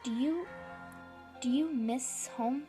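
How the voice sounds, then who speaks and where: A woman's voice: high-pitched, slow pace, warm tone, soft background music. A woman playing an earnest, curious young child in a comic dub, with a hesitant, questioning manner. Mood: hesitant, tentative.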